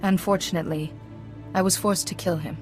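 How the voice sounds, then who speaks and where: A calm, dutiful female Jedi played by an actress in a space fantasy game. Her voice is on the low side, formal and composed, over faint music. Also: brisk, close to an everyday voice. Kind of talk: video game voice acting.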